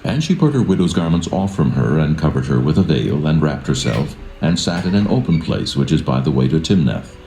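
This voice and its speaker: Very deep, gravelly male scripture narrator. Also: very animated, fairly quick, hardly any pauses, warm tone, a little hiss or hum. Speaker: Bible narrator. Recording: roomy echo with music behind.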